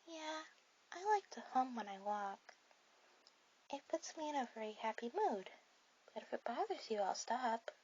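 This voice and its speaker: A woman voicing a shy, sweet, bookish girl on a first date in an ASMR roleplay, speaking softly and hesitantly to the listener. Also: high-pitched, breathy edge, highs cut off, heavily acted. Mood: gentle, nervous. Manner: soft, hesitant, halting delivery.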